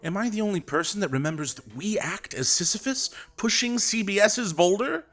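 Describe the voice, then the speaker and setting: An actor playing a domineering, theatrical radio director who speaks with sarcastic command in an audio drama. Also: male voice, high-pitched, animated, fairly quick, a bit bright. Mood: ranting. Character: grandiose, domineering male radio director, mid-career.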